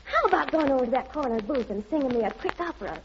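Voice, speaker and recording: Very high, cutesy flirt. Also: female voice, expressive, rapid-fire. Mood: flirtatious. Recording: muffled, noisy old radio recording.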